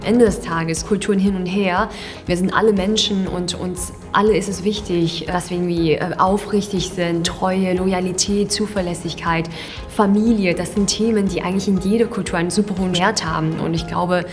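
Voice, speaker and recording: A woman's voice: lowish voice, steady tone, moderate pace, big-room sound, faint music behind. A woman in her twenties from China, speaking earnestly and reflectively as a startup founder, with small hesitations. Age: 20s. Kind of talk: personal portrait interview.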